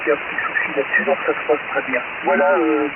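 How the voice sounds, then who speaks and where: A man's voice: on the high side, flat delivery, fast. A man rambling casually as an amateur radio operator over a shortwave transmission. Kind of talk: casual ham radio chat. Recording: narrow-band shortwave radio, very loud hiss.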